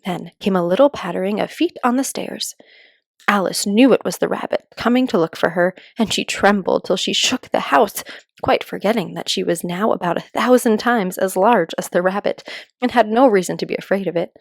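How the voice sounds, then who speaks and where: A woman storyteller giving a calm read-aloud narration for children. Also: medium pitch, normal speed, vocal fry, dry recording, clean studio sound.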